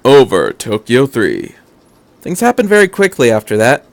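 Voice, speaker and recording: A man's voice: mid-range voice, huge pitch swings, normal speed, gravelly, no echo. A man narrating a scripted fan fiction story as an amateur audiobook reader.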